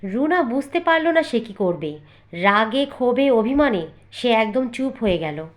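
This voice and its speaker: Fairly high-voiced woman narrating a story. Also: sing-song, unhurried. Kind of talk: scripted cartoon story narration.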